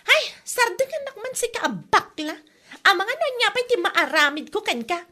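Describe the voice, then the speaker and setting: A woman plays a spirited working woman in a radio comedy drama. The voice is very high and bright, with lively swings, and comes across polite but firm. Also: normal speed, full character voice. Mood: defensive.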